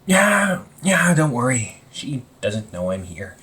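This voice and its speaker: A man playing an anxious, wry young supervillain in a casual audio roleplay, talking informally with exasperated sighs and halting phrases. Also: mid-range voice, very animated, bright, forceful tone, heavily acted. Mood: wry, resigned. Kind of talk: amateur audio roleplay, casual conversational acting.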